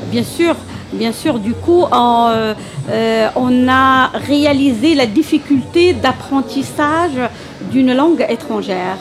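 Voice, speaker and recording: A woman's voice: high-pitched, lively intonation, slow pace, a crowd in the background. A middle-aged woman from Morocco, an Arabic speaker, answering interview questions hesitantly with many fillers. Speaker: language teacher guest.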